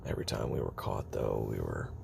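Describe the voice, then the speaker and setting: Man acting a very deep, gravelly weary ex-assassin. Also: mellow, slight background noise, full character voice. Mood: haunted, halting. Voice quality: very gravelly, fry-laden, breathy murmur. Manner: halting, hesitant, self-doubting, trailing pauses. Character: weary guarded former assassin recording confessional tapes. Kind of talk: confessional audio roleplay monologue.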